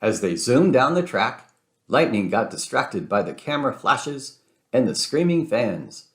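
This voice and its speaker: A man narrating a children's storybook aloud in an expressive read-aloud style. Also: medium pitch, measured pace. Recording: roomy, echoing sound.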